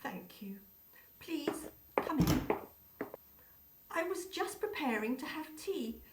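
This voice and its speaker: A woman playing a gracious, grieving, motherly Baroness in a radio play, with formal, halting delivery. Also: sits high, very animated, very noisy, heavily acted. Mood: gracious, subdued. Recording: roomy, distant microphone.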